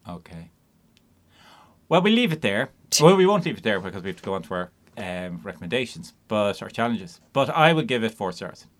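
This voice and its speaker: A man co-hosting a casual film review podcast, steering the talk from one segment to the next in quick bursts. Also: lowish voice, very animated. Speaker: podcast co-host.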